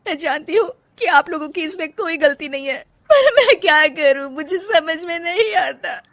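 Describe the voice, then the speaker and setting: A woman voicing a very high-pitched, unhappy young wife. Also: animated, normal speed, fairly bright tone, no room sound, full character voice. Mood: tearful, distraught. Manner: plaintive, curt. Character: unhappy young daughter-in-law, frustrated would-be painter. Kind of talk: Hindi cartoon moral story acting.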